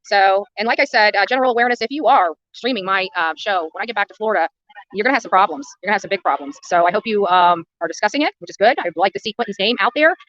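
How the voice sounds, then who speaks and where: A woman's voice: medium pitch, very fast, a bit bright, no room sound, highs cut off. An American woman ranting to livestream viewers in a blunt, combative way, repeating phrases for emphasis. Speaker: livestream host and protest activist.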